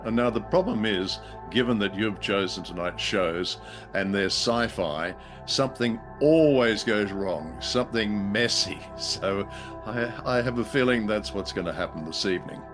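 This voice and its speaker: A man's voice: on the low side, normal speed. A man co-hosting a show in conversational, wry, understated banter. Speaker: co-host. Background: music.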